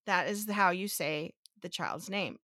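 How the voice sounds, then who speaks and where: A woman's voice: lowish voice, unhurried, pushed and bright, no room sound, studio quality. A woman hosting a casual podcast, thinking aloud with restarts and rhetorical questions, a native Spanish speaker. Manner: explains step by step to her co-host. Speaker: podcast host.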